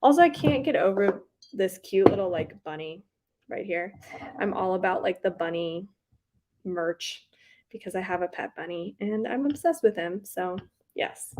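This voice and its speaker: A woman's voice: mid-range voice, animated, moderate pace, very noisy. A young woman hosting a livestream, chatting casually and rambling with lots of fillers, a faint Southern accent slipping into some words. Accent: slight Southern US on some words. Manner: rambling, giggly, lots of likes and ums. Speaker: booktube livestream host. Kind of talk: casual chat reading live comments.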